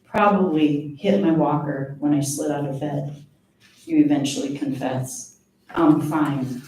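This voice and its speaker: A woman giving a live poetry reading of her own work, in a measured, deliberate way with pauses. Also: low-pitched, fairly even intonation, dark tone. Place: live reading event before an audience. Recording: very echoey room, distant mic, faint noise.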